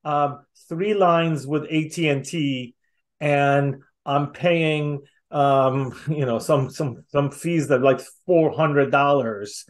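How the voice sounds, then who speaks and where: A middle-aged man hosting a casual interview on a video call, talking haltingly and repeating words as he goes. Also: a little high. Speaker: interviewer, host.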